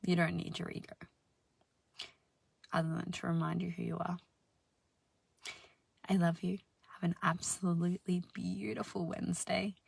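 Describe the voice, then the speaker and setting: A woman with a deep, husky voice giving a slow, soothing spiritual self-help talk, sometimes dropping into soft whispers. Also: little pitch movement. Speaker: spiritual healer and channel host.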